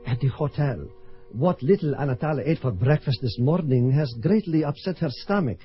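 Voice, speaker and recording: An actor playing a scheming Soviet political commissar in a vintage radio drama, suave and patronizing with quiet menace. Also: male voice, mid-range voice, animated, moderate pace, faint music behind, natural voice. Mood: smooth, menacing. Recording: muffled old radio broadcast.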